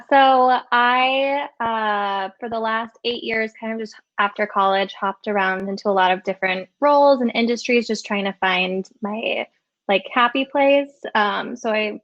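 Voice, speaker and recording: A woman's voice: medium pitch, a bit bright, dry recording. A woman around thirty casually introducing herself over a video call, with lots of "like" and "um.". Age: 30s. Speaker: design graduate under review. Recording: dull dry call audio.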